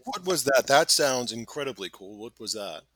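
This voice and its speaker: A man's voice: medium pitch, big pitch swings, moderate pace, a bit bright, no room sound. A man hosting a casual podcast, asking eager, curious questions. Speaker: host.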